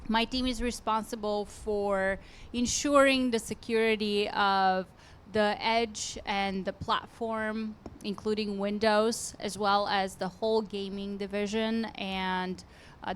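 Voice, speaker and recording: An earnest woman introduces herself on a conference stage, speaking into a handheld mic in a faintly noisy hall. Her voice sits at a middle pitch, and she pauses and restarts her phrases as she goes. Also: level tone. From Bulgaria. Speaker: conference panelist. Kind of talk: panel self-introduction. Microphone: handheld microphone.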